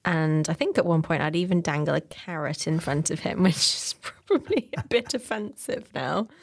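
A woman in her thirties from Wales talks in a relaxed, giggly way, often teasing the person she's talking with. Her voice sits fairly low and has a gravelly fry to it. The recording is dry and close, with no room sound. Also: normal speed, no room sound. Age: 30s. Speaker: podcast guest. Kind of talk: casual chat.

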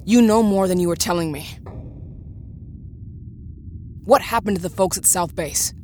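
A woman's voice: fairly low pitch, unhurried, darkish tone, a little hiss or hum, lightly acted. A woman playing a defiant, suspicious space mission commander in a science-fiction audio drama, terse and insistent.

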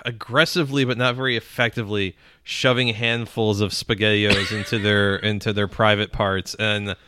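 A man co-hosting a casual comedy podcast, circling through halting descriptions with deadpan asides. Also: lowish voice, clear, resonant tone. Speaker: podcast co-host.

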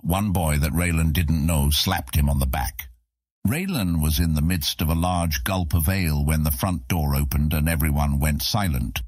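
Male audiobook narrator for fantasy fiction: bass-deep, raspy texture, very dry recording. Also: moderate pace, a little mellow, no echo. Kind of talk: scripted fantasy story narration.

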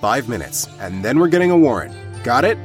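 Man acting an exasperated, animated city guard. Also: medium pitch, brisk, over music, close to an everyday voice. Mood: brisk, official. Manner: stern, exasperated, sighing. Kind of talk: audio drama acting.

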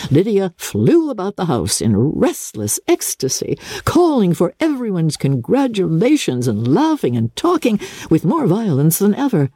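A woman reading classic literature as a narrator, in a theatrical, measured and expressive manner. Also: deep voice, breathy edge, dry recording. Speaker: audiobook narrator. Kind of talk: dramatic scripted literary narration.

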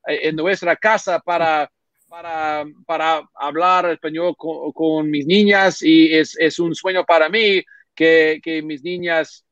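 A man whose first language is English, speaking with a slightly raised pitch and pausing often to search for words. He restarts phrases and fills gaps with soft hesitations. The audio is dull and dry, like a remote call. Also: fairly even intonation, dry recording. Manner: halting, many restarts and filler sounds. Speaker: podcast guest, baseball reporter. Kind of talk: casual interview talk in a second language.

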